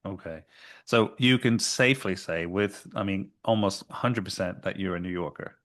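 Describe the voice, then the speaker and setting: A man hosting a podcast, introducing his guest and asking plain, measured questions. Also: sits low, a bit bright. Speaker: podcast host.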